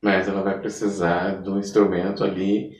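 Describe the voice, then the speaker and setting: A man's voice: sits low, completely flat delivery, normal speed. A man with a Brazilian accent chatting casually as an interviewer, asking and commenting in a tentative, informal way. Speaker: podcast interviewer. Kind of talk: casual chat. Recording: echoey room, distant from mic.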